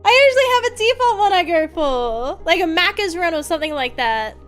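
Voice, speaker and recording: A woman's voice: extremely high pitch, very animated, fairly quick. A young Australian woman streaming, chatting casually to her viewers in a rambling way, swearing often and laughing easily. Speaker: vtuber streamer. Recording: faint music behind.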